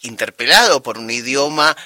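A man with a Rioplatense Spanish accent, interviewing in a friendly, conversational way with quick prompts. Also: medium pitch, expressive, pushed and bright, no room sound.

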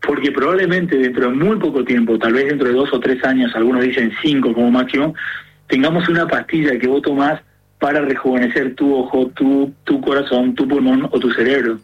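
Argentine man, gerontologist guest, earnest explainer, slightly husky, muffled radio sound. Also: mid-range voice, fairly quick. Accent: Argentine Spanish. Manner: earnest, explaining step by step with many fillers.

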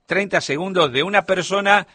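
A man's voice: high-pitched, moderate pace, sharp tone, breathy edge, no room sound. A middle-aged man with a Rioplatense Spanish accent, explaining a topic as a radio columnist in a studio chat. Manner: explanatory, storytelling delivery.

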